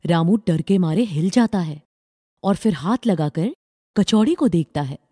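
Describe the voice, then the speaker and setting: A woman's voice: on the low side, lively intonation, measured pace, dark tone, breathy edge, no echo, studio quality. A woman narrating a scripted children's story as the storyteller.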